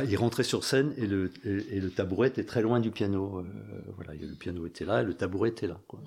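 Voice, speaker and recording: Low-voiced hesitant French man. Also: breathy edge. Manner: hesitant, many restarts and fillers. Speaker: participant in a group discussion. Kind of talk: casual reflective talk.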